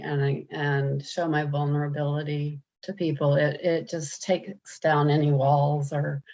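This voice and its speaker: A woman with a deep, gravelly voice answers interview questions in an earnest way. She often stops and restarts her sentences. The sound is a little dull and muffled, as on a video call. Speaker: program participant.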